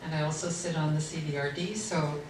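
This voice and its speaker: A woman's voice: low voice, fairly even intonation, fairly quick. An older woman, a local councillor, speaking at a community meeting in a friendly, slightly hesitant way and asking questions. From Canada. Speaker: municipal councillor. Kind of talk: public meeting remarks. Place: community hall. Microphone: distant room mic. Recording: echoey hall from far off, noisy.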